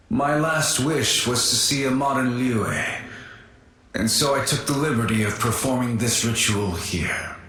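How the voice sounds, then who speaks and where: A man's voice: mid-range voice, a bit bright, a little hiss or hum. A man voicing a long-dead warrior spirit in a video game, formal, solemn and wistful, with ghostly reverberation. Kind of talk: video game character acting. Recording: heavy echo, distant-sounding voice. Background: music.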